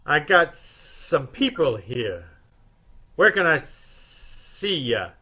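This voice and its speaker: A man playing a brisk, wisecracking private detective in an old-time radio mystery. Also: fairly high pitch, big pitch swings, bright, forceful tone, husky, exaggerated voice. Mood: guarded. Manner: clipped, wry interrogating.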